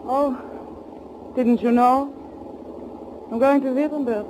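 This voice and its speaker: A woman's voice: high voice, steady tone, slow pace, dark tone. An actress playing an anguished young woman in a 1940s radio thriller, speaking in a dramatic, fearful way. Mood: frightened. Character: anguished young woman fleeing to avenge her lover. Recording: noisy 1940s radio recording.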